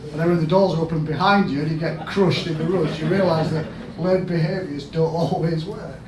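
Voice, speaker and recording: A man with a Yorkshire accent giving a storytelling conference talk as a health service chief executive, asking the audience questions and rising to loud emphatic bursts. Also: a little high, steady tone, a little fast. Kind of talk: storytelling keynote speech. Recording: echoey hall, mic at a distance, background noise.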